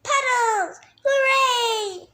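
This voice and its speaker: A young reader voicing an excitable little girl piglet in a storybook, exclaiming with deliberate phrasing. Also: very high-pitched, heavily acted. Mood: delighted.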